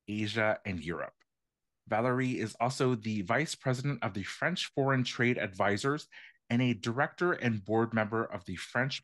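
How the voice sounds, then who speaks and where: A man's voice: mid-range voice, dry recording. Man reading a formal guest introduction. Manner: reads a formal introduction, then relaxed. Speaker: podcast host.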